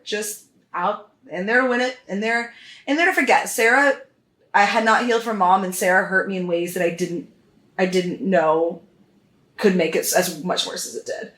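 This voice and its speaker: A woman's voice: medium pitch, a bit bright. Chatty American woman in an echoey room. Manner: chatty, rambling, swears casually. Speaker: podcast guest. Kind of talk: casual chat, personal storytelling. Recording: roomy, echoing sound.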